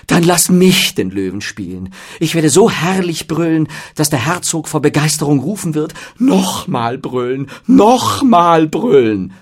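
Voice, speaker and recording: A man voicing a boisterous, eager and vain weaver in an excitable, bombastic storybook manner. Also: extremely high pitch, measured pace, vocal fry, slightly rough, voice pushed up, full character voice. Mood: boastful, roaring.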